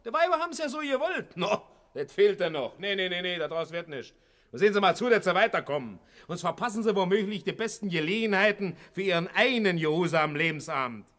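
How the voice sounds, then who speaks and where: An actor playing a stingy, domineering husband with a Berlin accent in a radio play, blustering and sarcastic. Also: male voice, high voice, expressive, a little fast, a bit bright. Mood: indignant, sarcastic. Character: stingy, gruff husband refusing insurance, domineering. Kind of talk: 1960s radio play acting.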